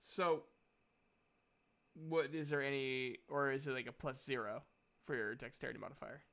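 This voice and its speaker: A man's voice: medium pitch, steady tone, moderate pace, gravelly, fairly bright tone. A man acting as game master, casually narrating a tabletop game and explaining rules.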